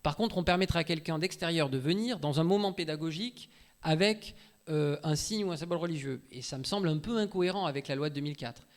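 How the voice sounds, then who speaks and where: Very fast-talking man, higher-pitched voice. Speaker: guest speaker, law researcher. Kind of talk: informal talk answering audience questions.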